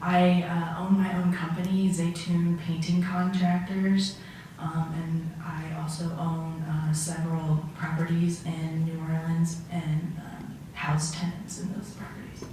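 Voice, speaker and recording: In a roomy, distant recording with faint noise, a woman plays a humble man of forty-seven testifying in court. The delivery is low for a woman and halting, with many ums and little melody. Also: little pitch movement, mellow. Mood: earnest, hesitant. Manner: halting, hesitant, frequent ums and pauses. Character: humble 47-year-old Syrian immigrant man, painting contractor on witness stand. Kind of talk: amateur mock trial testimony. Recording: echoey room, far from mic, faint noise.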